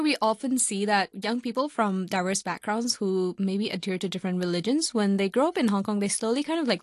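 A woman's voice: mid-range voice, no room sound, clean studio sound. A woman co-hosting an interview, putting conversational questions to a guest. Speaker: co-host interviewer.

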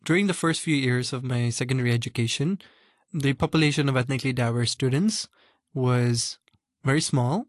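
A man's voice: mid-range voice, normal speed, no room sound. Earnest young man, dry close sound. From Hong Kong. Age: young adult. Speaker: guest. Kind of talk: interview answers.

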